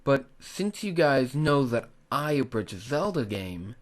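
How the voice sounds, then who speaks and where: Man, hobby creator, scripted how-to narration, animated melody, instructive, direct address. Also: medium pitch, a little slow. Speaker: tutorial host, hobby video creator.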